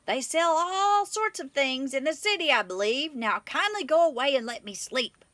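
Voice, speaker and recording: Woman voicing a grumpy high-voiced sleepy owl. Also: expressive, moderate pace, a bit bright, dry recording. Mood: grumpy, impatient. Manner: curt, dismissive. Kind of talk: children's storybook character voice.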